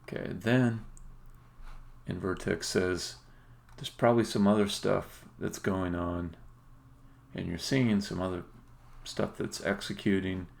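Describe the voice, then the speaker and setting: A man talking casually and haltingly as he thinks aloud on a live coding stream, with some words stressed emphatically. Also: low voice, steady tone, vocal fry. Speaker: game developer livestreaming.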